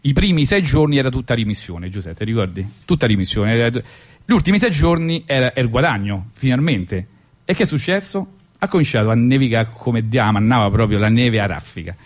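A man's voice: mid-range voice, animated, brisk. A man with a Roman accent, a radio host and comedian telling a rambling story with false starts and asides. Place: radio studio.

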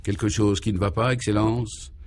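Man as earnest, deferential reporter, lowish voice. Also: fairly even intonation, normal speed, a little mellow, no room sound, close to an everyday voice. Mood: concerned. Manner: polite, questioning. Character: earnest, deferential male reporter friend, puzzled.

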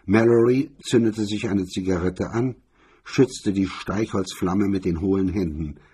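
A man's voice: sits low, measured pace, warm tone, slightly rough. A man narrating a scripted crime story as its reader.

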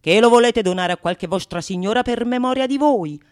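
A woman's voice: fairly high pitch, brisk, a little mellow, voice pushed up, natural voice. A woman playing a witty, teasing male painter in a theatrical radio monologue, sly and fond of wordplay. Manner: sly, mock-impressed, theatrical.

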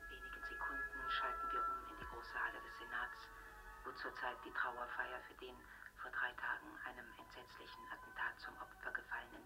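An actor playing a solemn, official announcer of a dystopian state broadcast, speaking in a hushed, formal manner in a radio drama. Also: male voice, mid-range voice, gravelly, natural voice, low-quality recording. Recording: loud music under whispered voice. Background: loud music.

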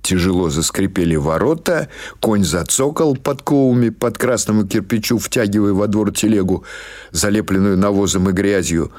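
A man reading literary prose as an audiobook narrator, in a measured, dramatic storytelling manner. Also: fairly low pitch, a little mellow.

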